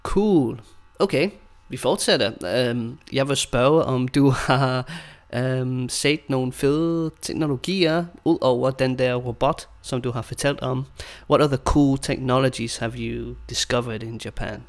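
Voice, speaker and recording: Gravelly man hosting a chat. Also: mid-range voice. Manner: switches between English and Danish, explains words slowly. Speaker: podcast host teaching Danish. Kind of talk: casual language-learning chat.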